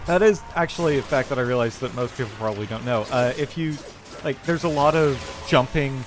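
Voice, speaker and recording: A man streaming a game and rambling casually, thinking aloud in a halting way as he plays. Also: medium pitch, music in the background. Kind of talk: casual rambling commentary while gaming.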